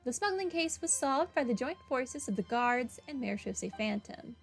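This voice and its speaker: A woman's voice: high voice, animated, normal speed, gravelly. A woman hosting a video game playthrough, chatting casually and reading game text aloud. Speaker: gaming video host. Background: game music.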